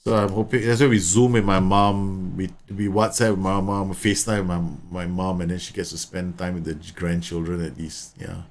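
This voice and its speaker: A chatty man in his thirties, talking through a live game stream. His voice sits a little low, and he often stumbles and repeats words as he explains tactics at length. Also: steady tone, moderate pace, hardly any pauses. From Singapore. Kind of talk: live gameplay commentary and chat.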